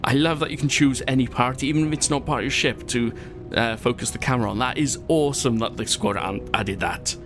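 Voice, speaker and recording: A Welsh man with a medium-pitched voice talks through his gameplay in a loose, rambling way, drifting into asides and laughing at his own slips. Quiet music runs under his voice. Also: fairly quick. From Wales. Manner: chatty and self-mocking, rambling asides, frequent laughs. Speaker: gaming channel host. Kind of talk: casual gameplay commentary.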